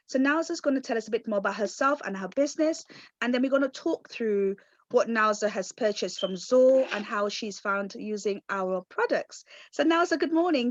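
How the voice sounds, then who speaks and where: A woman's voice: on the high side, dry recording. Upbeat woman interviewer, dull dry sound. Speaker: interviewer for the food company. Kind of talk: friendly scripted interview questions.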